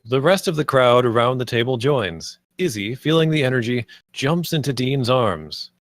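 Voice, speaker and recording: Male narrator for a table read, mid-pitched, dry close sound, scripted stage directions. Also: lively intonation, moderate pace, no echo.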